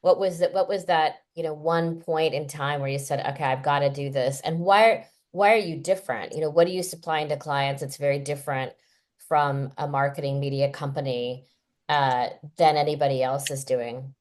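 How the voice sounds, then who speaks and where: Low-voiced woman hosting an interview. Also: steady tone, moderate pace, darkish tone. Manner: chatty, emphatic questioning. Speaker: interview host. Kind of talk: casual interview.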